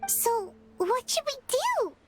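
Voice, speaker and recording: A woman voicing a tiny, excitable, childish female fairy companion in an anime game. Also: very high voice, slow pace, music in the background, exaggerated voice. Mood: worried, curious. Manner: childish, exclamatory, refers to self by name.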